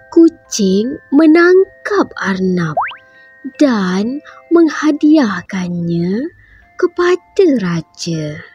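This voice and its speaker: A woman reading a bedtime story for children, in a slow, gentle, sing-song way with a breathy voice. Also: mid-range voice, highs cut off.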